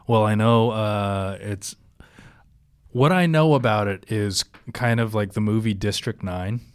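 A young man with a low voice, recorded dry with no room sound. He co-hosts a podcast in a halting way, stopping often and filling the gaps with fillers. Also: clean tone, no room sound. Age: young adult. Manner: hesitant, lots of uh and um. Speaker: podcast co-host. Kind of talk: casual chat.

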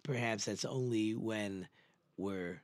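A man's voice: on the low side, takes it slowly, no echo, studio quality. A man giving an informal religious lecture, conversational, with rhetorical questions and emphatic repetition. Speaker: religious teacher giving a Torah class.

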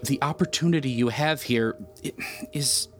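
A man's voice: medium pitch, normal speed, natural voice. Man acting a patient young priest, music behind. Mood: earnest, coaxing. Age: young adult. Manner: gentle counselling, sighing. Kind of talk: naturalistic audio drama acting.

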